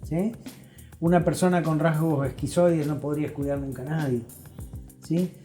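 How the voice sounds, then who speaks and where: A male interview guest with an Argentine accent explains things in a conversational, teacherly way. His voice is mellow and warm, with faint music playing behind it, and he punctuates points with short tag questions. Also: a little high, fairly even intonation, normal speed.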